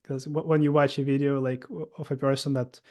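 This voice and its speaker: A man in his thirties from Russia with a Russian accent, telling his story as an interview guest in halting speech with many fillers and restarts. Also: mid-range voice, level tone, dark tone.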